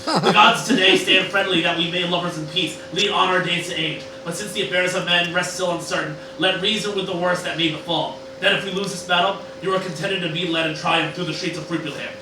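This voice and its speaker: A man acts out a boozy old scientist grandpa declaiming mock Shakespeare in a high-pitched voice. The amateur radio play sounds very echoey and a little distant, with clear noise. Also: steady tone, fairly quick, close to an everyday voice. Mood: solemn, grandiose. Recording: roomy, distant, noisy amateur room recording.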